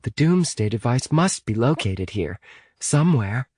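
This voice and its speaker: Audiobook role, male reader: earnest young girl leader, decisive, raised pitch, close dry sound. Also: sing-song, unhurried, warm tone, slightly rough, no room sound. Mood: explaining. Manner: urgent, reasoning, decisive. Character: decisive, earnest teenage girl leading friends in an adventure novel.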